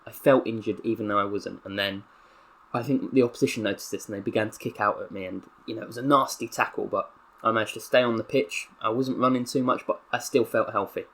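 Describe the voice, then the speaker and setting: A young man with a Southern English accent reads out a mock press statement in a low, earnest voice. His delivery is deliberately solemn, slipping now and then into excitable asides. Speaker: gaming YouTuber. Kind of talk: role-playing a footballer's press statement.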